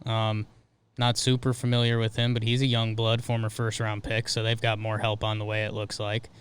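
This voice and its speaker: A man's voice: lowish voice, monotone, normal speed, clear, resonant tone. A man co-hosting a sports podcast in casual, conversational chat.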